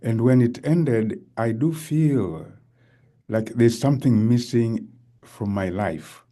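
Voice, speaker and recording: A man's voice: medium pitch, takes it slowly, mellow. A man hosting an interview show, chatting with his guest in an enthusiastic way. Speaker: host. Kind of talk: interview chat.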